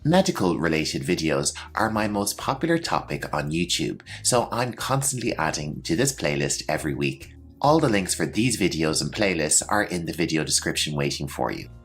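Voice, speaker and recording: A man with a low voice narrates a scripted educational lesson. His pitch moves in a very lively way as he explains terms like a teacher. Music plays along with the voice. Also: normal speed. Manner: clear, instructional, explaining terms and definitions. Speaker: English teacher presenting a medical vocabulary lesson.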